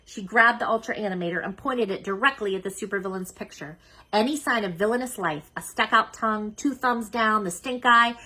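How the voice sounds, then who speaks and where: Bright, husky woman reading aloud. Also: on the high side, low-quality recording. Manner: expressive, emphatic. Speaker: children's book reader. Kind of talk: animated read-aloud story narration.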